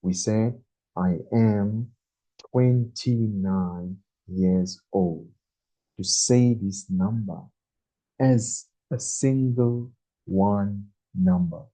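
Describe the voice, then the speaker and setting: A man in his twenties teaching a beginner English lesson, speaking very slowly and deliberately and repeating each number. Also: lowish voice, dark tone. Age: 20s. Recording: dull lo-fi recording.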